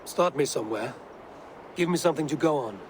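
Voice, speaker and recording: A man's voice: on the high side, expressive, fairly quick, lightly acted. A man acting a stoic, firm Viking raider. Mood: measured. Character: stoic, steadfast male Viking raider hero. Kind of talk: dramatic video game cutscene acting. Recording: game audio with faint background noise.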